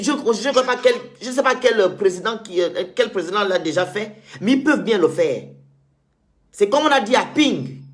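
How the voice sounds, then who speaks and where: A man hosting a call-in debate, talking in an insistent way and repeating words as he makes his points. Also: sits high. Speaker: show host.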